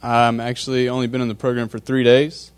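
A man's voice: mid-range voice, monotone, brisk, smooth. A man from North Carolina, giving a short, upbeat personal testimony in a plain way.

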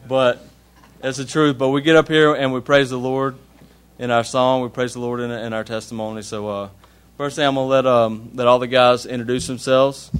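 A man's voice: mid-range voice, little pitch movement, normal speed, few pauses, smooth. A man leading a church gathering, speaking earnestly with small hesitations as he passes the mic around. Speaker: leader of a group testimony. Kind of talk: church address.

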